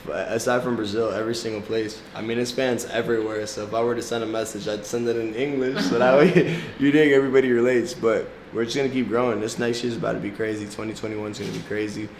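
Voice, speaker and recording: A man's voice: on the low side, fairly even intonation, fast talker, hardly any pauses. A young adult man from South Florida whose first language is Portuguese, talking in a laid-back, slangy way and laughing often as a music artist being interviewed. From South Florida, United States. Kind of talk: casual interview chat. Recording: echoey room with background noise.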